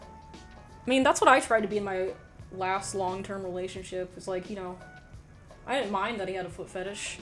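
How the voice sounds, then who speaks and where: A young woman hosts a livestream in a soft, quiet voice. She rambles through chat with frank, offhand remarks and plenty of "like". Faint music plays behind her. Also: mid-range voice, moderate pace, long pauses. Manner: rambling, frank, laughs often, says "like" a lot. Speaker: livestream host reading chat. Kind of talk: casual chat.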